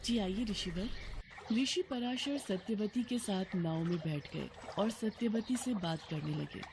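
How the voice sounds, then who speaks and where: A woman's voice: medium pitch, lively intonation, unhurried, gravelly, noisy background. A woman narrating a scripted devotional story as a storyteller. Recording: music bed behind voice.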